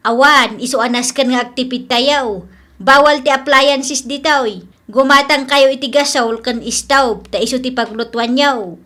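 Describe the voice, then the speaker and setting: A woman's voice: sits high, steady tone, measured pace, sharp tone. A woman playing a bossy, grumbling, money-minded older landlady in a radio comedy drama, scolding and nagging emphatically. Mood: businesslike, bossy.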